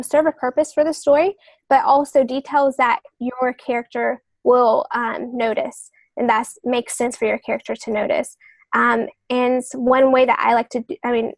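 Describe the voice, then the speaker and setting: A woman's voice: high-pitched, no room sound. A woman speaking as a guest novelist on a podcast, explaining her methods somewhat hesitantly and often rephrasing herself.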